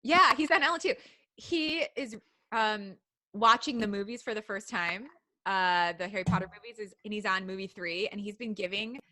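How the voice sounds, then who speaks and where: A woman co-host reads a fan story aloud on a live podcast, pausing for laughing asides and chatter with her co-hosts. Her voice is bright and a little tense, recorded dry and close with no room sound. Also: medium pitch, dry recording. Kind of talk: live podcast reading and casual chat.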